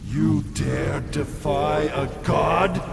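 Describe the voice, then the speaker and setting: A mad galactic tyrant in a video game, contemptuous and commanding. His gravelly speech drags out very slowly and rings in a vast reverb. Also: full character voice. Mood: enraged, imperious. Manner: pompous, menacing, commanding. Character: insane godlike cosmic villain, booming, imperious, contemptuous. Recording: booming long reverb under loud music.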